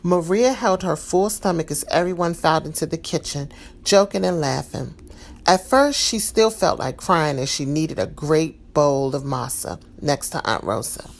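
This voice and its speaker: Low, gravelly woman reading a storybook. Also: normal speed. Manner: calm, expressive read-aloud. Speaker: storybook reader. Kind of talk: children's storybook read-aloud.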